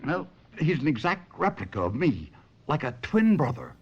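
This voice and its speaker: A man's voice: on the high side, big pitch swings, normal speed, husky, heavily acted. An actor playing a devoted but restless husband, a ventriloquist telling his own story in a 1970s radio drama. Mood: earnest, reassuring. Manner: dramatic, reflective first-person storytelling. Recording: muffled radio broadcast, music behind.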